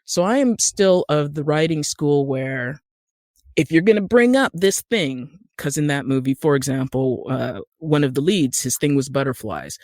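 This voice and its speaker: Male podcast guest, higher register, emphatic and opinionated conversational delivery, dry recording. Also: animated, moderate pace, a little mellow, no echo.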